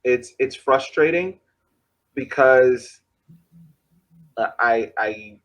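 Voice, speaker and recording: A man co-hosting a casual sports podcast, talking in halting bursts, restarting and laughing between points. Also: medium pitch, a bit bright. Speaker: podcast co-host.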